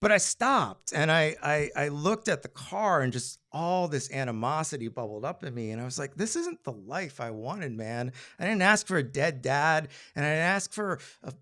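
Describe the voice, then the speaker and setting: A man telling a personal story on stage in an animated, conversational way with comic timing. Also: a little high. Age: adult.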